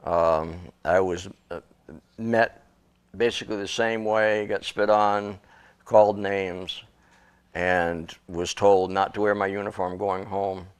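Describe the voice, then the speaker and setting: An older man with a somewhat low-pitched voice speaks plainly and matter-of-factly. He sounds like an interview guest telling his story. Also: steady tone, slow, smooth. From Western Massachusetts. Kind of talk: interview guest recounting memories.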